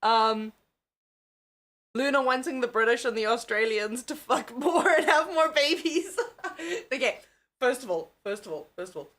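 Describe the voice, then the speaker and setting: A woman's voice: a little high, a bit bright. A young woman from South Africa with a mixed South African accent, chatting casually and giggling with viewers as a tired livestream host. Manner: giggly, chatty, swears freely. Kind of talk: casual live chat with viewers.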